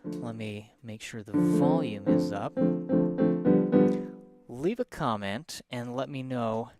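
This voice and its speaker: A soft-spoken man, teaching a live piano lesson and explaining each step in a friendly way. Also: medium pitch, slow pace.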